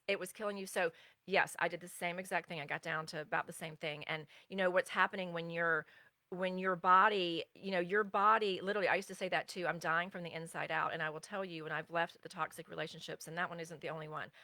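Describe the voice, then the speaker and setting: A middle-aged woman talks through a live teaching session as a spiritual coach, rambling in an earnest way and stopping now and then to read out viewer comments. Her voice is bright and a little low, and the recording is close and dry. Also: dry recording. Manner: earnest, meandering, pauses to answer chat.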